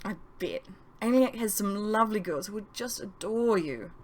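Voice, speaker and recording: A woman's voice: mid-range voice, lively intonation, moderate pace, lightly acted. A woman voicing a sociable young woman in an audiobook, chatty, breezy and teasing.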